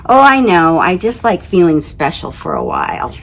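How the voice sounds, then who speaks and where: A woman's voice: lowish voice, huge pitch swings, moderate pace, a little hiss or hum, rough recording. A woman voicing a downcast, friendly little planet with a casual, self-pitying manner in a storybook reading. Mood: wistful. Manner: casual, plainspoken, glum.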